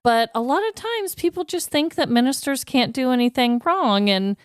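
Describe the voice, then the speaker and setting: A woman co-hosting a podcast in casual chat, animated and exclamatory in her manner. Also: high voice, moderate pace, no echo, studio quality. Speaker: podcast co-host.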